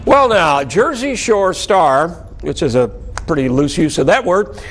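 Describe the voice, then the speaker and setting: A man's voice: a little high, lively intonation, measured pace, soft background music. An American man anchoring scripted television news with a wry delivery and dry asides.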